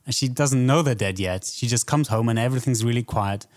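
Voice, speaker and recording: A man's voice: mid-range voice, fairly quick. A man co-hosting a film podcast in casual discussion, with a thoughtful, hesitant way of phrasing things.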